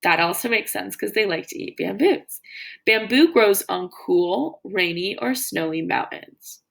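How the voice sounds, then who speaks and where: A woman teacher doing an educational read-aloud for children, explaining as she reads and pausing to wonder aloud and ask questions. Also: mid-range voice, expressive, gravelly, fairly bright tone. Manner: explanatory, pauses to wonder aloud and pose questions to young listeners. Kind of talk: educational read-aloud of a children's nonfiction book with think-aloud comments.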